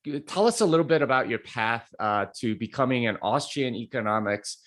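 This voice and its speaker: A man hosting a podcast over a video call, speaking at a middle pitch. He keeps things chatty, laughs easily, and often trips into stammering restarts. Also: animated, a little fast, a bit bright. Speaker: podcast host. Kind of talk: casual interview hosting.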